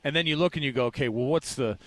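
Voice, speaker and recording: A man's voice: medium pitch, fast, a bit bright, dry recording, rough recording. An American man with a mid-range voice chats as a sports radio co-host. His talk is informal and rambling, sliding into imagined quotes to make a point.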